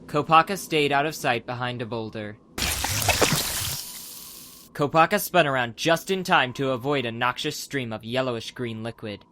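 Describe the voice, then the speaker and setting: A man's voice: mid-range voice, normal speed, bright, forceful tone, slight background noise. A man narrating a dramatized adventure audiobook from a script as the storyteller.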